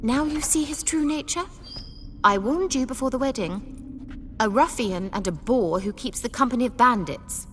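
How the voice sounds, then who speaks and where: A woman's voice: on the high side, expressive, normal speed, close to an everyday voice. Haughty, echoing aristocratic mother played by a woman. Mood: scornful. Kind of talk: video game cutscene acting. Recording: long reverb, faint music.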